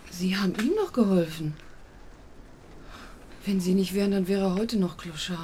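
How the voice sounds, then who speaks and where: Actress as a worried, halting, worn-out woman. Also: mid-range voice, dark tone, slight background noise, natural voice. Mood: hesitant, worried. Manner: hesitant, halting, plain-spoken. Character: worried, worn-out pregnant working-class woman, plain and hesitant. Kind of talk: naturalistic radio drama dialogue.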